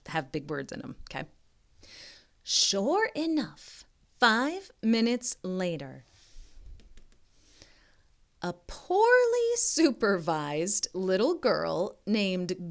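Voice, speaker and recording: A woman reading a picture book aloud expressively, stopping for teacherly asides to the listener. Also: fairly low pitch. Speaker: reading tutor.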